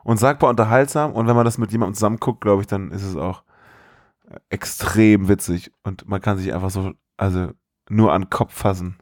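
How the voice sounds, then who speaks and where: A man co-hosting a podcast, chatting casually about films in an animated, incredulous way full of colloquial exclamations. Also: fairly low pitch, brisk. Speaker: podcast co-host. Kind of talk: casual film review chat.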